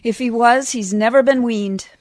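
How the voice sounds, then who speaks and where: Worn-out, sharp-tongued wife played by a woman for vintage radio comedy; wry complaints, slightly high voice. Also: normal speed, no room sound, clean studio sound, natural voice. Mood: exasperated, wry. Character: long-suffering, sharp-tongued wife worn out by snoring husband. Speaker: community theatre actor. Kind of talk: 1940s radio comedy acting.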